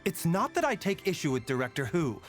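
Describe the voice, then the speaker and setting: A man playing a gentle, courteous pharmacist doctor in a game, speaking in a soft, measured and politely wry manner. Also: fairly high pitch, huge pitch swings, faint music behind. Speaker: game character voice lines. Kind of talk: scripted game voice lines.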